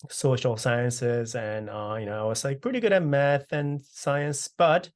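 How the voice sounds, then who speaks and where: A man in his thirties from South Korea, answering oral history questions over a video call, relaxed and full of "uh" and "you know". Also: medium pitch, unhurried. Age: 30s. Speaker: interviewee.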